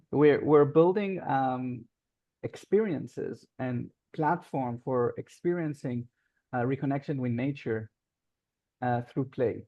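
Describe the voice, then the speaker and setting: A man's voice: medium pitch, no echo, muffled recording. Reflective man. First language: Hebrew. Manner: reflective, searching for words with frequent pauses. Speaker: guest, social arts facilitator and founder. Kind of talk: casual interview conversation.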